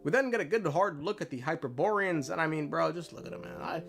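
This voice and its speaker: A man's voice: a little high, animated, quick pace, vocal fry, fairly bright tone. A man narrating a comedic video game lore recap in an irreverent, sweary, slangy way. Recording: music behind narration.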